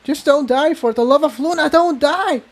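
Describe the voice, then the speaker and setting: A man's voice: extremely high pitch, normal speed, pitched above the performer's own voice. A man voicing a frantic, tearful young female pony in a storybook reading, pleading and exclaiming.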